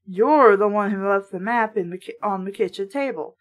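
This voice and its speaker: A woman's voice: medium pitch, normal speed, voice pushed down, close to an everyday voice. Casting: woman as a storybook mom who bickers, defensive and snippy, in an everyday female voice. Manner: snippy, argumentative.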